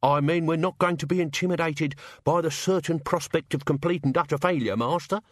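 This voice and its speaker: A man's voice: fairly high pitch, fairly quick, no echo, studio quality, pitched above the performer's own voice, natural voice. A man voicing a cheeky, chatty male servant who talks with his mouth full.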